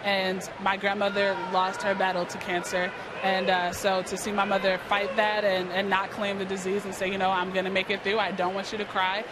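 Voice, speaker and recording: A woman's voice: medium pitch, completely flat delivery, brisk. An American woman in her early twenties from New York, speaking earnestly and conversationally as an athlete being interviewed. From New York, United States. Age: early 20s. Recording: clear outdoor stadium noise behind. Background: outdoor ballpark ambience.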